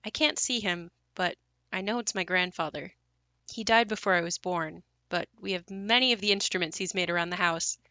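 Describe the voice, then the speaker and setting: Bright-voiced actress as a wry young woman narrator. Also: lowish voice, moderate pace, no room sound. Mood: calm, wistful. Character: young woman recalling her haunted family home, wry, reflective. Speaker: narrator and lead of an audio play. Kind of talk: first-person dramatic monologue.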